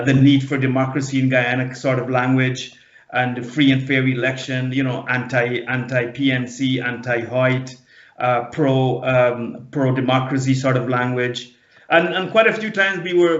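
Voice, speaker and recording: A man in his fifties speaks as a panel guest, recalling his past earnestly and stumbling over repeated words. His voice sits at an ordinary pitch for a man. The sound is muffled and roomy, like remote audio in an echoing space. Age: 50s. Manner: halting, earnest, often repeats and restarts words. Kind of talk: personal recollection in a panel discussion. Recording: dull, echoey remote audio.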